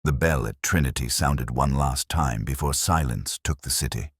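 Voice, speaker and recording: A man as a very deep-voiced, brooding ageing detective. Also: breathy edge, dry recording, studio quality. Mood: brooding, reflective. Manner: measured, reflective, solemn. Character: ageing, melancholy male detective, wry and brooding. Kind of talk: literary first-person radio drama narration.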